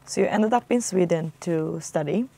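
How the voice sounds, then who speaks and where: A woman hosts an interview show in a lowish voice. She asks her questions attentively and keeps the guest going with frequent hums of agreement. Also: normal speed, gravelly, dark tone. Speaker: talk show host. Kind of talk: interview hosting.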